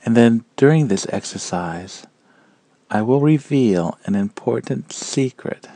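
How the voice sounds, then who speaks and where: A man guiding a meditation in a calm, measured and gentle manner, leaving long pauses between phrases. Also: mid-range voice, dry recording. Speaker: meditation guide. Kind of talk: guided meditation narration.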